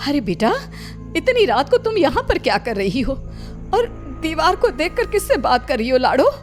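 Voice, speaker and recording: A woman's voice: high voice, sing-song, moderate pace, faint music behind, pitched above the performer's own voice. A woman voicing a doting middle-aged mother in a cartoon story, emotional and fussing over her child. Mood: worried.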